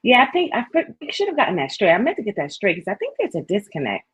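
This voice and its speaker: A woman hosting a casual live chat, chatty and quick to laugh. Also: medium pitch, huge pitch swings, husky.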